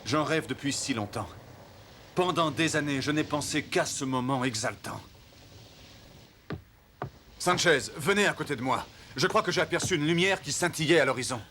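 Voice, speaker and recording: A man playing an earnest, devout and commanding admiral explorer in an animated historical cartoon. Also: a little high, moderate pace, pauses often, a little hiss or hum. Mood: weary, longing.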